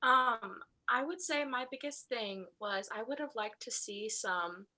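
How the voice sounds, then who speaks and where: A woman's voice: a little high, unhurried, bright, forceful tone. A young woman giving informal, candid feedback as a guest judge on a video call. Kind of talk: casual spoken critique.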